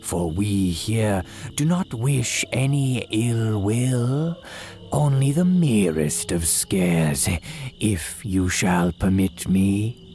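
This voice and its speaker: Slow, deep old graveyard priest. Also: male voice, warm tone. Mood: ominous welcome. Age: older. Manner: archaic, solemn, drawn-out dramatic delivery. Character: very old priest tending a haunted graveyard, sinister yet humble. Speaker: horror storyteller. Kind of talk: theatrical old-time radio horror monologue.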